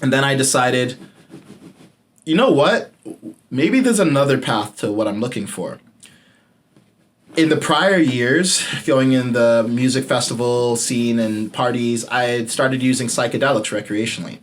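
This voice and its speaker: A man in his late twenties hosting a podcast and telling his own story. He talks in a candid, casual way and swears freely. On the points that matter most to him, his voice drops to a low murmur. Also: measured pace. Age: late 20s. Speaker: podcast host. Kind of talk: personal storytelling monologue.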